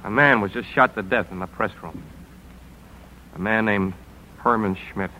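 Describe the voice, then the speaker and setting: An actor playing a hard-driving, wry American newspaper editor in brisk, clipped 1950s radio drama style. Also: male voice, fairly low pitch, very noisy, lightly acted. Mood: urgent. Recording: vintage 1950s radio broadcast.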